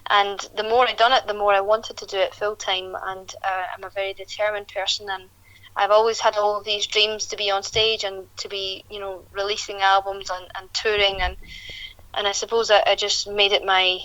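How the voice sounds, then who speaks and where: A woman's voice: mid-range voice, level tone, moderate pace, pushed and bright, no room sound, dull audio. A young woman, a country singer chatting at length in an interview, often restarting her sentences. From Scotland.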